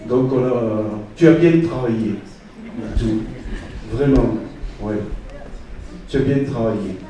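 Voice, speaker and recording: A man reminiscing informally, halting and repeating words as he goes. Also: medium pitch, warm tone. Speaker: former music student giving a tribute. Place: auditorium, live event. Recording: echoey auditorium, distant mic, audience murmur.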